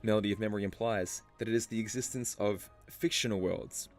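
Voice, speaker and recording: A man narrating a scripted story explainer in a measured, musing way, posing rhetorical questions. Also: lowish voice, fairly even intonation. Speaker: video essay narrator. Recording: music under the voice. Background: background music.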